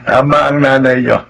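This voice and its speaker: A man playing a kindly old grandfather in a Filipino radio drama, with a husky, creaky voice. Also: medium pitch, fairly bright tone, rough recording.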